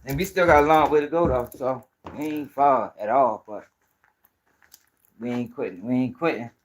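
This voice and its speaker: A man's voice: mid-range voice, normal speed, slight background noise. A man with a Baltimore accent hosting a casual livestream, talking to viewers in loose, profane street slang. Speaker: host of a commentary channel.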